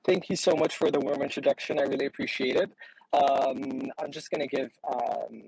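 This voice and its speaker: A young man from Syria gives an informal talk as an activist. His voice is gravelly and husky, and the sound is dry and close. He speaks politely, feeling his way through his points. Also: medium pitch, normal speed, a little mellow, dry recording, rough recording. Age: young adult. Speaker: youth climate activist and founder. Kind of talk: informal conference presentation.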